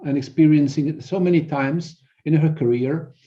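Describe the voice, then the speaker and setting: Male coach as interview guest, middle-aged, Belgian accent, slightly high, dull band-limited sound, halting explanatory delivery. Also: dark tone. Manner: halting, repeats words.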